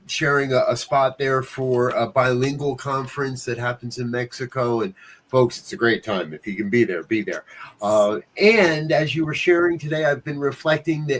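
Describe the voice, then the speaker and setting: A man hosting a talk show, asking long, rambling questions with many fillers. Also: medium pitch. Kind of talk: casual interview chat.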